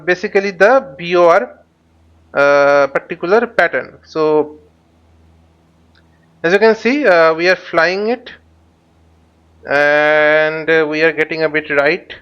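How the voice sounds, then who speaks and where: A man teaching a flight simulator tutorial, speaking haltingly and often repeating words as he explains. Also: high-pitched, a bit bright, clean tone. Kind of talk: spoken tutorial while flying a simulator. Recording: dull lo-fi microphone sound.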